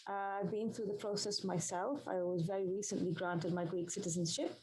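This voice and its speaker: A woman's voice: medium pitch, steady tone, darkish tone, poor audio. Earnest woman speaking on a webinar. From Pakistan. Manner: earnest, measured, reflective. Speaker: journalist, panel speaker. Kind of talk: prepared webinar talk.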